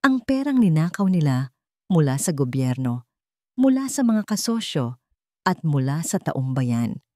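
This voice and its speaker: Woman acting young female avenger, bitter, declamatory, Filipino accent, audio storybook, lowish voice. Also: sing-song, measured pace, dark tone, no echo, clean studio sound. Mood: bitter, accusing. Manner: declamatory, accusing.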